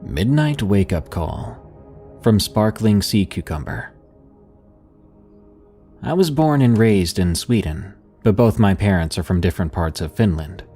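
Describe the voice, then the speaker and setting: A man narrating scary stories in a slow, hushed storytelling manner, with soft music behind him. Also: lowish voice. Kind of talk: scripted horror narration.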